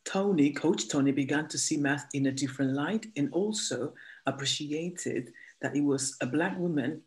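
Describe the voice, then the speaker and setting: An interview host who reads a deliberate introduction and then asks halting, restarted questions. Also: medium pitch, low-quality recording. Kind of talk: interview hosting.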